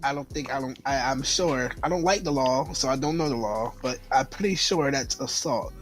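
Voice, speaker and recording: A man's voice: mid-range voice, normal speed. A young man joking around in casual banter with friends, throwing in crude interjections. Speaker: podcast co-host. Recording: background music under voice. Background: music.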